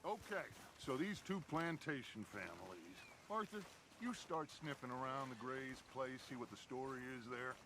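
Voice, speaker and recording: A man's voice: mid-range voice, animated, moderate pace, pushed and bright, slight background noise. A man playing a confident middle-aged American outlaw gang leader in a video game, talking in a grandiose, persuasive way. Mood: scheming, assured. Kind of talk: video game cutscene acting. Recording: outdoor ambience and hoofbeats behind voice.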